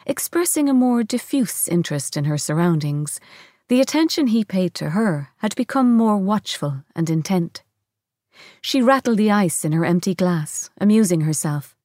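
A woman's voice: fairly low pitch, darkish tone, no echo, clean studio sound. A woman reading literary fiction aloud as scripted narration.

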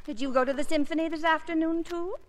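Radio play, 1950s: proper society mother, high lilting voice, gracious and fussy, reverberant stage sound. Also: steady tone, fast talker. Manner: polite, genteel, fussy. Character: gracious, proper middle-aged society mother, politely taken aback. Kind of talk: 1950s radio drama acting. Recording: echoing old radio broadcast.

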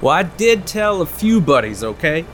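A man's voice: a little high, sing-song, normal speed, a bit bright, soft background music. A man playing a folksy, chatty, nosy small-town fisherman in an audio drama, rambling on good-naturedly. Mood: confiding.

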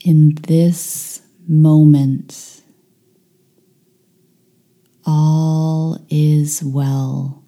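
A woman leading a guided meditation, giving calm, hushed, soothing instructions with long pauses between phrases. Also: low voice.

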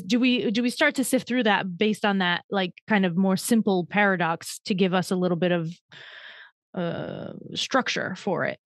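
A woman's voice: mid-range voice, no echo, clean studio sound. A woman hosting a podcast interview, chatty and self-deprecating, thinking aloud in long winding questions. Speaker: podcast host. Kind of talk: conversational interview.

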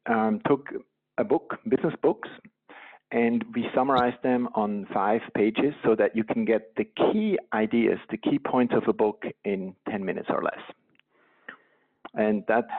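A man with a Swiss accent answering interview questions in a relaxed, hesitant way. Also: medium pitch. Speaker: guest, company co-founder. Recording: tinny phone line.

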